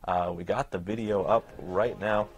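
A man's voice: sits low, steady tone, moderate pace. A young man with an American accent, asking questions as the interviewer in a casual sit-down interview. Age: young adult. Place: open indoor lobby studio with people behind. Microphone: lapel mic. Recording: clear background ambience noise.

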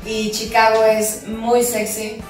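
A woman's voice: fairly high pitch, monotone, slow pace, faint music behind. Woman in her thirties, from Ecuador, enthusiastic and playful, echoey room, distant mic. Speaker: musical theatre actress and producer. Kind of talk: casual interview chat. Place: office room with glass wall, seated on chairs. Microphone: far camera mic.

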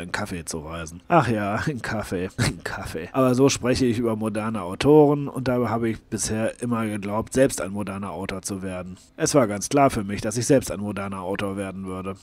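A man reading a first-person literary narrative aloud, expressively and with emphatic delivery. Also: on the low side. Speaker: audiobook reader.

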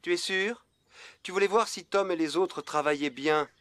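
An actor voicing an easygoing, helpful young farmhand mechanic in a French children's cartoon, calm and polite. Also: male voice, high-pitched, normal speed, no room sound. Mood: concerned.

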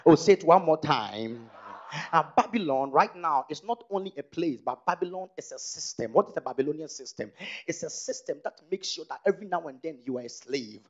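A man preaching on stage into a handheld mic, calling out to the congregation and building to loud exhortation. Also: on the high side, expressive, brisk, muffled recording. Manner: call-and-response preaching, rising to loud exhortation. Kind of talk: sermon. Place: large stage hall with podium.

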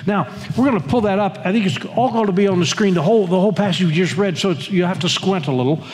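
Older male pastor, higher voice, earnest repetitive sermon delivery, long church-hall reverb. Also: fast, a little mellow. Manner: earnest, repeats words and phrases, leads congregation reading. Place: church sanctuary.

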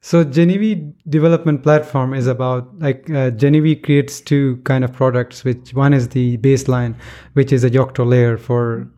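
A man from Pakistan in his thirties, a software developer guest talking hesitantly with many pauses. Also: medium pitch. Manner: hesitant, many ums and uhs, flat delivery. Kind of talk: casual chat. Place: small office room indoors.

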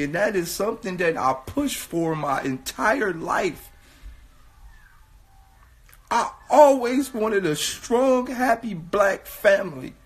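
A man in his thirties from with a hoarse voice, talking earnestly and repetitively into a phone held at arm's length in a small room. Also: high-pitched. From Watts, California. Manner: earnest, repetitive, building to raised voice. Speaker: vlogger. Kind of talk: casual selfie monologue.

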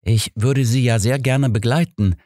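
A man's voice: mid-range voice, measured pace, warm tone, dry recording, studio quality, pitched above the performer's own voice, natural voice. A man reading a busy, friendly doctor in an audiobook, polite and formal in manner. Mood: apologetic. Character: courteous, busy male doctor, friendly helper.

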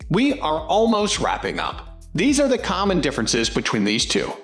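High, lively-voiced male narrator. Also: normal speed. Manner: formal, evenly read script. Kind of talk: scripted product-comparison narration. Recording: roomy echo. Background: faint background music.

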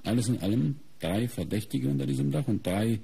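An actor playing a sober, methodical middle-aged police inspector in a radio crime play, raspy and measured as he reasons aloud. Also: mid-range voice, expressive, warm tone, exaggerated voice. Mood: matter-of-fact. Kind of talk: German radio crime drama acting.

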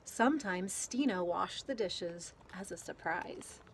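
A woman reading a children's picture book aloud outdoors in a gentle, deliberate way, pausing between phrases. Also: lowish voice, lively intonation. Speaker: story reader. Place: outdoors, garden. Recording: clear outdoor background noise.

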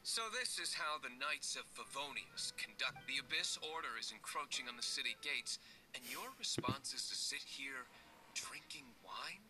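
A man plays a self-assured young nobleman from a fantasy game. His mid-pitched voice is aloof and terse with cool scorn, over clear background music. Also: moderate pace, natural voice, rough recording. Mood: disdainful. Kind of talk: video game voice acting.

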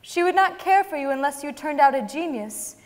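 A young woman with a very high voice reads dramatically from old letters and novels. Her voice rings with the long reverb of a concert hall. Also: expressive, brisk. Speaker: reader in a staged reading. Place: large concert hall stage before an audience.

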